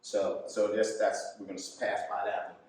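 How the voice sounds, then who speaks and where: A man giving an informal live introduction to a puppet show as the artist running it, speaking in a halting, appreciative way. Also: on the low side, little pitch movement, darkish tone. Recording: echoey room, distant mic, music behind.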